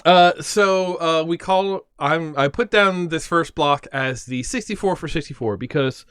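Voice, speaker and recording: A man hosting a casual livestream, talking and explaining things in a rambling, animated way. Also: a little high, unhurried, clear, resonant tone, dry recording, studio quality. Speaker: livestream host. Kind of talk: casual livestream chat with his mother.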